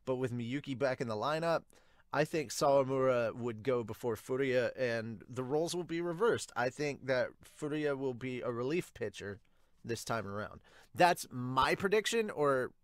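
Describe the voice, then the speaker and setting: A man in his thirties hosts an informal review, thinking aloud as he goes. His voice sits at an average pitch with an expressive, casual delivery. The sound is close and dry, with little room echo. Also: normal speed. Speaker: host of manga review channel. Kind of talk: casual reaction and commentary to viewers. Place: small home room, indoors.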